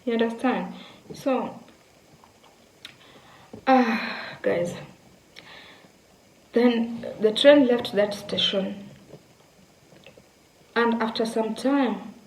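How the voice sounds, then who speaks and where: A woman's voice: a little high, extremely slow, pauses often, darkish tone, echoey room, slight background noise, low-quality recording. A young woman from Kenya casually telling a story to her viewers, repeating words and speaking to them directly. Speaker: vlogger.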